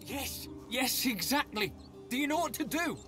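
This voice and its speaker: A rattled rough soldier. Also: male voice, very high voice, very animated, moderate pace. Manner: coarse, rattled. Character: rough-spoken shaken male soldier. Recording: game audio under music.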